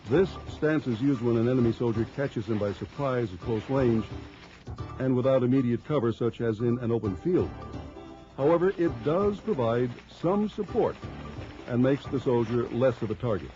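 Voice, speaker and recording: A man reading scripted instructional narration for a training film in a measured, formal, explanatory way. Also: medium pitch, lively intonation, dark tone, faint music behind. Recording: dull lo-fi early 1990s film soundtrack.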